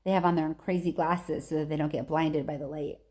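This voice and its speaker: A woman's voice: low-pitched, steady tone, fairly quick, darkish tone. A woman reading a children's book aloud like a teacher, expressively, with casual chatty asides.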